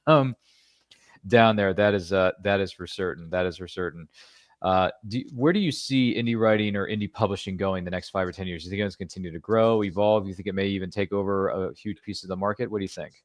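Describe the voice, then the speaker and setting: A man with a very low, deep voice hosts a podcast interview, talking in a chatty, jokey way with playful asides. The sound is dry and close, with no room echo. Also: fairly quick. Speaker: podcast host. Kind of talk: casual interview chat.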